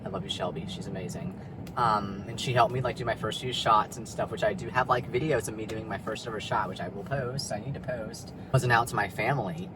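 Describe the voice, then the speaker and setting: A man's voice: fairly low pitch, a little fast, heavy background noise. A young man talking candidly in a personal vlog from his car in a parking lot, rambling and confessional, with lots of fillers and swearing. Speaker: vlogger.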